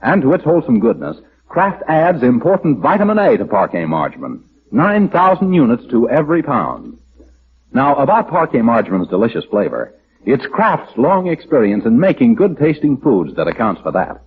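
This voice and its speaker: A man's voice: medium pitch, huge pitch swings, normal speed. An American man announcing in a polished, emphatic 1940s radio sponsor style. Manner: polished, emphatic sales delivery. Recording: dull vintage radio, faint noise.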